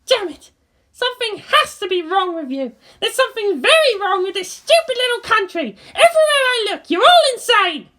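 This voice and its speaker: Combative teen girl pilot, shrill and high, emphatic delivery for an audio story. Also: very animated, moderate pace, bright, forceful tone, heavily acted. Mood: furious. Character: fiery furious teenage girl mecha pilot.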